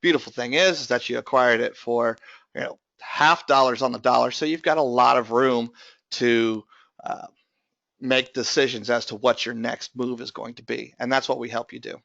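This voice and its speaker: A man's voice: medium pitch, steady tone, normal speed, gravelly, a bit bright, dry recording, highs cut off. A man presenting an investing webinar, his voice strained from recent bronchitis, explaining steadily with many filler uh's. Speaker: tax lien investment presenter.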